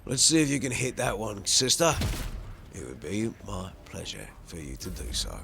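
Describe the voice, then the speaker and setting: A man's voice: on the low side, big pitch swings, normal speed, gravelly. A man commentating over his playthrough of a strategy game, musing aloud and talking to the characters, with game music behind him. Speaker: gaming channel host.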